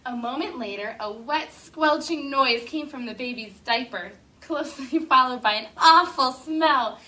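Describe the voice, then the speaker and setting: A woman teacher reads a storybook aloud to a classroom of young children, stretching her phrases and breaking off to ask them eager questions. Her voice is somewhat high and very bright. She is picked up from across the room on a camera, with a faint hiss underneath. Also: a little slow, recorded from a distance. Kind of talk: reading a picture book aloud to children.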